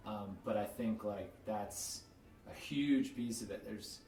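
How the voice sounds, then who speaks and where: Lowish male podcast host, distant and echoey. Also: takes it slowly, darkish tone. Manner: thoughtful, rambling with fillers. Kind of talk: casual interview chat. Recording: echoey room, distant mic, faint noise.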